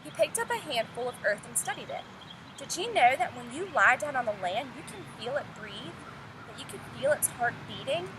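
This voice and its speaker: Noisy outdoor recording, wind and traffic, woman teacher, higher-pitched voice, storybook read-aloud for children, prompting young listeners. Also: very animated, moderate pace. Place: outdoors in the open.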